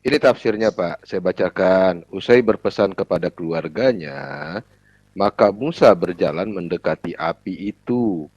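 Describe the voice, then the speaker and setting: A man with a lowish voice joins a debate with interjections and readings from a text. The sound is dry, dull and lo-fi. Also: moderate pace, a little mellow, no room sound. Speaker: debate participant.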